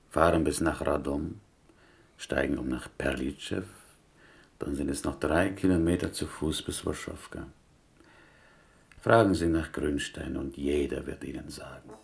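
An actor playing a polite, reserved Polish prisoner and chess player, formal and understated, in a radio drama. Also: male voice, a bass voice, unhurried, vocal fry, long pauses, full character voice. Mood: resigned, quiet.

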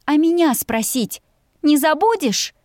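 A woman voicing a proud, fiery young heroine, sharp, scolding and defiant, in an audiobook story. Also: high voice, lively intonation, a little slow, no room sound, clean studio sound, voice pushed up. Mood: indignant.

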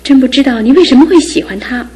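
A woman's voice: high voice, lively intonation, quick pace, warm tone, slight background noise. An actress playing a skeptical young woman in a studio radio drama, speaking in a questioning, wistful way. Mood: doubtful. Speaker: fiancé's sister.

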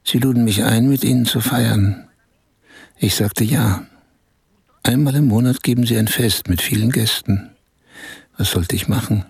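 An actor in a radio play as a man recounting, in measured detail, a strange dreamlike night of being pampered. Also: medium pitch, creaky, slightly rough, no echo, studio quality, full character voice. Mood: recounting, absorbed. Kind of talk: German radio play monologue. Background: music.

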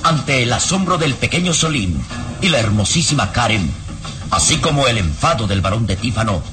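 A man's voice: medium pitch, animated, moderate pace, music in the background. A man narrating a radio serial in an emphatic, suspenseful style.

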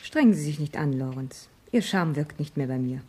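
An actress in a German radio play, playing a weary, self-possessed vicar's wife with a guarded, dry manner. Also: female voice, low-pitched, animated, moderate pace, warm tone, slightly rough. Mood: guarded, cool. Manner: guarded, drily rebuffing.

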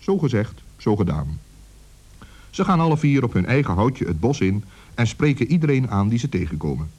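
A man's voice: medium pitch, very animated, moderate pace, a little mellow, breathy edge. A man narrating a scripted children's story as its storyteller.

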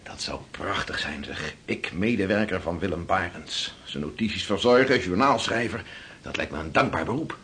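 An actor playing an eager, affectionate seafarer in an intimate radio play. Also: male voice, deep voice, lively intonation, normal speed, fairly bright tone, slight background noise. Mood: dreamy, hopeful.

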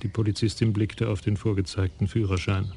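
A man narrating a radio crime drama in an even, measured storytelling manner. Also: fairly low pitch, a little hiss or hum.

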